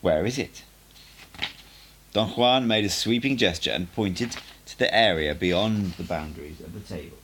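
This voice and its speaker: A man narrating an audiobook in an expressive, measured storytelling manner. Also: low voice, a little hiss or hum. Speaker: audiobook reader.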